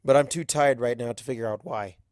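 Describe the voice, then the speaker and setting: A man's voice: mid-range voice, brisk, darkish tone, dry recording. Dry-recorded man narrating a story. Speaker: fan fiction reader. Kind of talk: first-person story narration.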